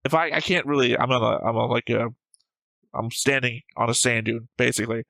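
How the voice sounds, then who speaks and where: A man's voice: medium pitch, fairly even intonation, brisk, gravelly, bright, forceful tone, dry recording. A man who hosts a reaction show, talking casually and discursively about a series, rambling and swearing freely.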